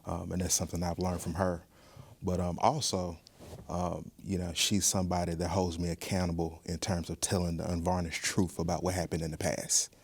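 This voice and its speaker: A man speaks in a low register with very little rise and fall. He answers interview questions in a measured, earnest way, thinking as he goes. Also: level tone, slightly rough. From Mississippi, United States. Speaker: museum director, interview guest.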